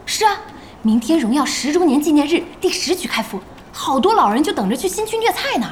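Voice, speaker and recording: An actress in a Chinese audio drama playing a brisk, bossy young woman who runs an internet cafe, speaking directly. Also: high-pitched, big pitch swings, a little hiss or hum. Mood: chatty, probing. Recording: music and crowd sounds behind.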